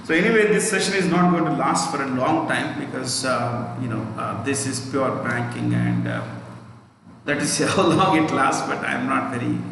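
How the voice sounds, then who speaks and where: A man's voice: mid-range voice, vocal fry, a bit bright. A man with an Indian accent teaches a banking exam lesson, repeating and spelling out key phrases and checking in with the listener. He is not a native Hindi speaker. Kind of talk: live exam lesson, explaining and dictating. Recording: echoey reverberant room, distant mic, faint noise.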